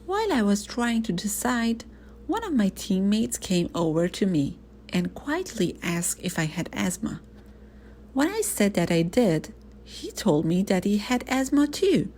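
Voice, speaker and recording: A woman reading a first-person children's story aloud in an expressive, animated storytelling manner. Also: mid-range voice, unhurried, slight background noise.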